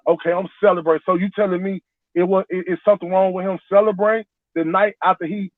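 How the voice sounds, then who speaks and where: 40 yr old guy on a tinny phone line, high voice, keeps repeating himself. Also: steady tone, fast, no room sound. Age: 40s. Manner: repetitive, defensive, rambling. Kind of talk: heated casual debate.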